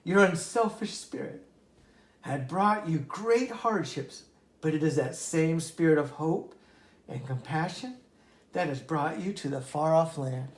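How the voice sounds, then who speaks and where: A reader voicing a wise, kindly magic frog with a gravelly voice and gentle, commanding delivery. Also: medium pitch, measured pace, reverberant, close to an everyday voice. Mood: comforting.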